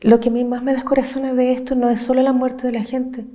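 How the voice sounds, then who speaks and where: A woman from Chile, an activist speaking earnestly and with passion, laying out her points in measured, listed phrases. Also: high voice, little pitch movement, warm tone. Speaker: social movement activist. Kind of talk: reflective interview talk.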